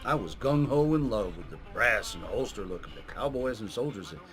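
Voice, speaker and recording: Guy doing a gruff american vault commander for a game, big patriotic speechy talk, music playing under it. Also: lowish voice, sing-song, fairly quick, fairly bright tone. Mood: approving, gruff, familiar. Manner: bombastic, drill-sergeant speechifying. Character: gruff, gung-ho vault military coach, patriotic man. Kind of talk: scripted video game character acting. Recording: music behind the voice.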